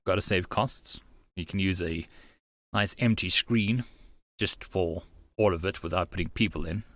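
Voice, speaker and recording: A man's voice: sits low, a little slow, fairly bright tone, no room sound. A man narrating a visual novel aloud as a channel host, slipping into casual asides.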